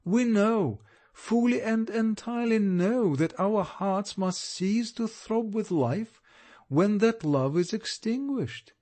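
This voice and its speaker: A man voicing a gentle but firm young woman who speaks earnestly and pleadingly in an audiobook reading. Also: high-pitched, pitched above the performer's own voice.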